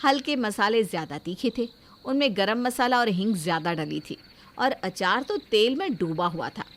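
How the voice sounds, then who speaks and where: A woman's voice: medium pitch, lively intonation, moderate pace. A woman reading scripted narration for a family story, as its storyteller.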